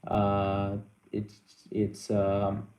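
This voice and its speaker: A young man whose first language is Persian hosts a casual live Q&A. He reads out viewer questions and answers them haltingly, with many ums and restarts. His voice sits a little low and sounds mellow, and the room around him has a noticeable echo. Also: monotone.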